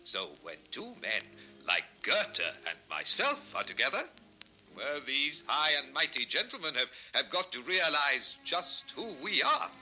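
A hard-of-hearing, tempestuous composer from a 1960s children's story record. The delivery is blustering and hugely animated. The tone is sharp and forceful, pitched on the high side. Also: male voice, measured pace, soft background music, exaggerated voice, rough recording. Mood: scornful, proud. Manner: blustering, emphatic, theatrical.

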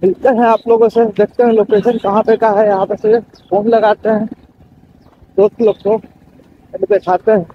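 High-pitched young man, muffled. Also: flat delivery, moderate pace, pauses often, a little hiss or hum. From Bihar, India. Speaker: motovlogger. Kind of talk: casual vlog commentary while riding. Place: outdoors on a motorbike on town roads.

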